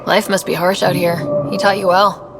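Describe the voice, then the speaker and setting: A woman's voice: lowish voice, level tone, moderate pace, over music, natural voice. A woman playing a confident, supportive young space explorer in a video game, direct and inquisitive. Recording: game ambience with vehicle noise.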